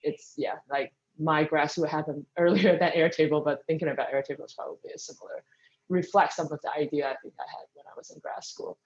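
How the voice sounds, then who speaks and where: A speaker from Taiwan, answering interview questions thoughtfully, often pausing with ums and restarting sentences. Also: mid-range voice, steady tone, muffled recording. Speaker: guest speaker, engineering manager.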